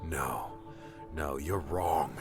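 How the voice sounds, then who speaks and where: A man's voice: extremely low pitch, drawn-out words, pitched below the performer's own voice, heavily acted. A man voicing an earnest, resolute young hero in audiobook dialogue. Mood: defiant, sincere. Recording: background music under the voice.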